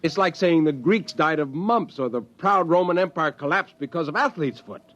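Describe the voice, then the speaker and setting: A man's voice: a little high, expressive, moderate pace, a bit bright. An actor playing an intense, bitter, idealistic crewman in a 1950s radio drama. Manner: intense, impassioned. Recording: dull vintage radio recording.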